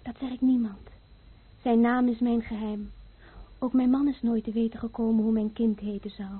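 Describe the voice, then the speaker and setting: Husky, grieving fisherman's wife. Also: on the high side, steady tone, normal speed. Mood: hushed grief. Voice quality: husky, breathy. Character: grieving fisherman's wife, aged before her time. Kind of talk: solemn radio drama acting. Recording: muffled old radio play recording.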